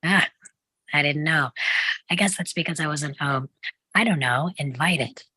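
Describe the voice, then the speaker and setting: A woman's voice: deep voice, a little slow, sharp tone, breathy edge, no room sound, exaggerated voice. A woman playing a snubbed young friend with a dry, pointed manner in a sitcom table read. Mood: wry.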